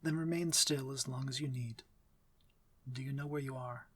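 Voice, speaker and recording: A man playing a courtly, aloof but civil vampire master in an ASMR fantasy roleplay, speaking formally and with measured authority. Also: mid-range voice, fairly even intonation, breathy edge, no room sound, lightly acted. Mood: cool, stern. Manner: formal, measured, commanding diction. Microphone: close, dry microphone.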